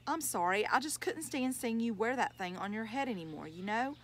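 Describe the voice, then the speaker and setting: A woman's voice: on the high side, animated, a little fast, a bit bright, slight background noise, pitched above the performer's own voice. A woman voicing a boy's doting, easygoing father in a storybook, affectionate and coaxing. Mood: tender, pleading.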